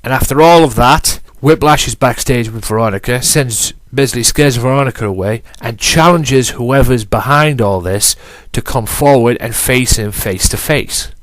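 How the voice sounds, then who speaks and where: A man's voice: mid-range voice, normal speed, hardly any pauses, dry recording. A man hosting a solo wrestling podcast, talking emphatically and repeating himself, with a cold and a blocked nose. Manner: emphatic, repetitive, casual swearing. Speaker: podcast host.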